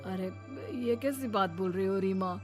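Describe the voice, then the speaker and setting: A woman's voice: mid-range voice, normal speed, soft background music, lightly acted. A woman playing an adult son in a cartoon family story, earnest and placating. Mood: exasperated. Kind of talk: cartoon moral story acting.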